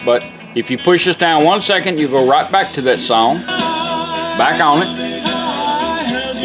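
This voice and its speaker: A man's voice: on the high side, low-quality recording. A man giving a casual, hands-on product demonstration in a folksy, plain-spoken way. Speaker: car radio restorer demonstrating a product. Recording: music playing behind the voice. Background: music from a car radio.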